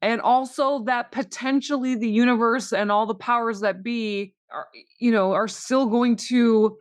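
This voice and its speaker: A woman co-hosting a podcast in relaxed, conversational discussion. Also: fairly high pitch, unhurried, smooth, no room sound, clean studio sound. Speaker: podcast co-host.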